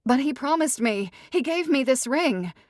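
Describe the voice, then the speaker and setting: A frantic young bride who has been left at the altar, voiced by a woman narrator. Her voice rides high and breathless, pleading and stubborn. It is audiobook comedy dialogue in a very dry recording. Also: animated, moderate pace, no room sound.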